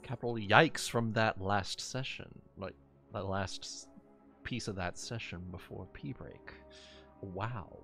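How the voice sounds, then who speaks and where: A man talks casually with fellow tabletop players in a fairly deep voice. He takes his time and swears freely. Faint music plays behind him. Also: takes it slowly, gravelly, bright, forceful tone. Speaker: tabletop role-playing game player. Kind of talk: casual chat.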